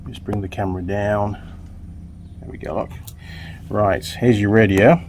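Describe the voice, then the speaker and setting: A man's voice: lowish voice, fairly even intonation, a little slow, a little mellow, a little hiss or hum. A man talking casually to viewers as he works with his hands, thinking aloud like a mechanic hosting a workshop vlog. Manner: chatty, informal, talking to the viewer while working. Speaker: motorbike mechanic and video host.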